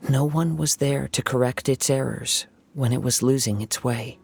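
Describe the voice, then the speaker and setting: A man voicing a calm, reflective young wandering swordsman in a video game, speaking in a measured, poetic and solemn way. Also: mid-range voice, creaky, close to an everyday voice. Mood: gently regretful. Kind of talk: dramatic video game story dialogue.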